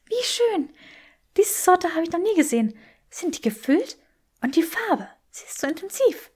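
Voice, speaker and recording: A woman voicing a spirited, sharp-witted young woman in an audiobook, prim and teasing. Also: very high-pitched, sing-song, normal speed, voice pushed up. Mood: delighted.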